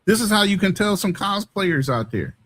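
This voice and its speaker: American male, slightly high voice, colloquial debater who argues by asking questions. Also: very animated, moderate pace, fairly bright tone. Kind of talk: casual live discussion.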